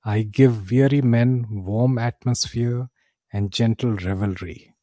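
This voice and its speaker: A man narrating a scripted fantasy tale in character as a tavern keeper, with theatrical, deliberate phrasing. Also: mid-range voice, mellow, no echo, studio quality. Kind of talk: dramatic scripted fantasy storytelling.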